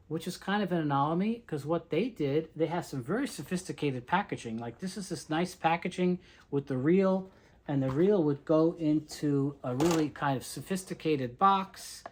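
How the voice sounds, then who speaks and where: A collector giving an informal, explanatory show-and-tell commentary, talking conversationally through items one by one. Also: medium pitch. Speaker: collector presenting a tape reel collection.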